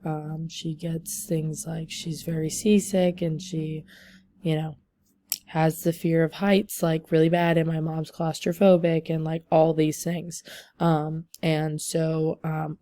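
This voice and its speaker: A young woman giving a class presentation over slides, losing her voice, talking haltingly with many ums and likes. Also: low voice, dark tone, no room sound. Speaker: student presenter.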